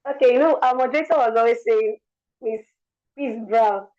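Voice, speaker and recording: A woman's voice: on the high side, normal speed, a little mellow, dull audio. Young woman speaking with a worn voice. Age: young adult. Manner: earnest, repeats words while speaking. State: lost her voice. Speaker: guest speaker. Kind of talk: motivational talk.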